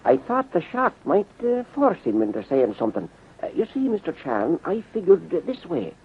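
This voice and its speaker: An Irish-accented police sergeant from a 1930s radio serial. His voice is high and husky, with an animated, plain-spoken and slightly halting delivery. The recording is narrow and tinny, like an old broadcast. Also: exaggerated voice. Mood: earnest, puzzled. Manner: folksy, hesitant, expressive. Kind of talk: 1930s radio drama acting.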